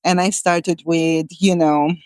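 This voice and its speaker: Woman, Hungarian accent, 40s, flat even delivery, smooth, dry close sound. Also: lowish voice, slow, no echo. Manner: thoughtful, many fillers and restarts. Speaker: guest, shamanic healer. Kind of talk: conversational interview answers.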